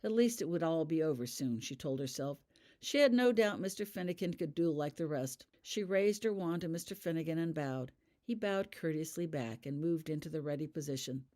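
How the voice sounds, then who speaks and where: A woman's voice: deep voice, dark tone. A woman reading scripted fiction aloud as an audiobook narrator. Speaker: author reading their own fan fiction.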